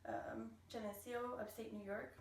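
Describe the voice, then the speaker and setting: A woman's voice: fairly high pitch, fairly even intonation, unhurried, a little hiss or hum. A young woman from France, an international student, speaking thoughtfully in an interview with frequent fillers. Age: young adult. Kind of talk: interview testimony. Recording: echoey room, mic at a distance.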